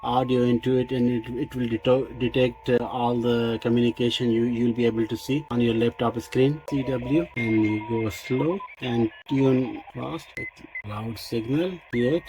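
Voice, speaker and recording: A man casually demonstrating a product unscripted, explaining technical details in a halting way. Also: mid-range voice, background noise. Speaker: radio reviewer and demonstrator.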